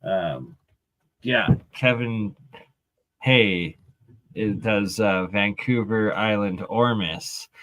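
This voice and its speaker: A male host chatting on a video call, speaking haltingly with many fillers. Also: on the low side, a bit bright, no room sound, low-quality recording. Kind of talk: casual chat.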